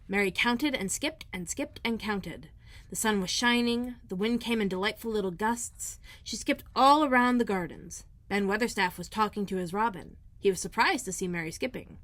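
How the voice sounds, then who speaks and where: A woman librarian reading a children's book aloud in a gentle, clear storytelling manner. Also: medium pitch, animated, normal speed. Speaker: school librarian.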